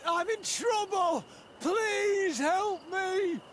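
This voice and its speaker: High-voiced wounded soldier. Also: male voice, extremely slow, a bit bright, slight background noise, heavily acted. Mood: desperate pleading. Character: wounded male soldier, frightened survivor of a massacre.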